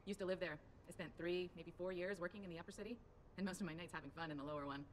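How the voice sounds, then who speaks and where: A woman's voice: fairly low pitch, fairly even intonation, rapid-fire, a little hiss or hum, close to an everyday voice, rough recording. An actress playing a breezy, teasing, streetwise woman pilot in a video game, informal in manner.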